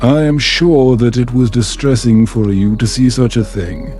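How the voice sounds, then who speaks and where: A man voicing a gentle, easygoing, smooth-talking young prince in a fantasy bedtime story. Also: mid-range voice, moderate pace, faint music behind, natural voice. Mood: comforting. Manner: gentle, at ease.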